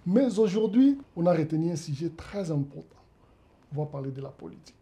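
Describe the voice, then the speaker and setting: A man's voice: on the high side, expressive, brisk, mellow. A man hosting an interview show, with formal, emphatic introductions. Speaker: talk show host.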